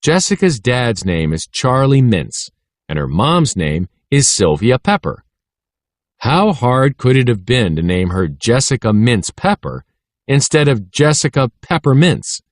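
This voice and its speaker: Man reading as a wry, animated schoolboy. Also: mid-range voice, measured pace, dry recording, clean studio sound. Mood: musing. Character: wry schoolboy telling his own story.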